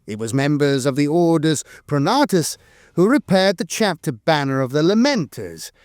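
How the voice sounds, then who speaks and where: A man's voice: on the high side, sing-song, normal speed, darkish tone, no echo, clean studio sound. A man narrating scripted lore as if reading aloud, often stumbling into an uh mid-phrase. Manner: hesitant reading, frequent uhs mid-phrase.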